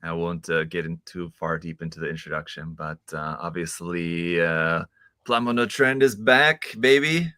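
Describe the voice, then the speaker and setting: A man hosting a casual live stream chat, relaxed and laughing often, with many fillers. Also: low voice, normal speed, no room sound, studio quality.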